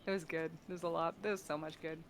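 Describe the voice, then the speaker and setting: A woman's voice: deep voice, fairly even intonation, brisk. A woman chatting casually and playfully as a guest on a group stream. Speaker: guest voice actor. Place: online stream call.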